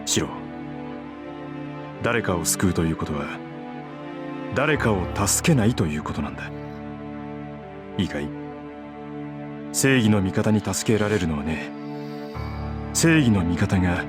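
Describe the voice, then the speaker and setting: A world-weary father in a visual novel, passing on hard wisdom gently. The voice is low, and loud background music swells over it. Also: animated, a little fast, pauses often. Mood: gentle, solemn. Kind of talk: Japanese visual novel voice acting.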